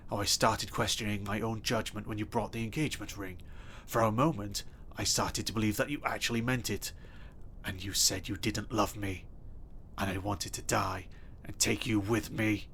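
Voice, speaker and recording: A man playing an obsessive, possessive stalker in an audio roleplay, speaking softly and intimately with a threatening edge. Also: lowish voice, fairly even intonation, moderate pace, slight background noise. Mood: cold menace. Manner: soft, intimate and menacing, bursting into threats. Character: obsessive, possessive male stalker kidnapper, adult.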